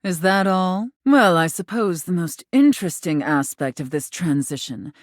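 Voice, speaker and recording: A woman playing an imperious, teasing dominatrix in a video game, speaking formally and condescendingly with deliberate, commanding phrasing. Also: on the low side, expressive, no echo, studio quality, close to an everyday voice. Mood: menacing, sultry. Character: imperious, teasing dominatrix, a living safe given human form. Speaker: voice actor. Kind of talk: scripted video game character acting.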